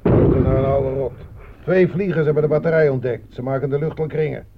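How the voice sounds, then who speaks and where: An actor playing a seasoned, sardonic soldier in a war radio play, colloquial and wry. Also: male voice, medium pitch, brisk, close to an everyday voice. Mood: grim, sardonic. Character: seasoned, sardonic male soldier, an old hand. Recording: muffled old radio recording, clear noise.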